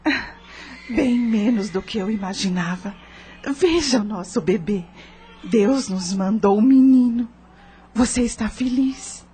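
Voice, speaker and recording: A woman playing a tender, anxious mother in a radio play, with a husky voice. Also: on the high side, a little slow, pauses often, mellow, slight background noise, natural voice. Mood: tender, weary.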